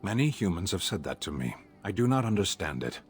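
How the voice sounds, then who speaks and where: An actor playing a huge, blunt Qunari warrior who speaks tersely and formally in a fantasy game. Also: male voice, sits low, very animated, a little fast, faint music behind. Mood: deadpan. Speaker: companion character in a fantasy game.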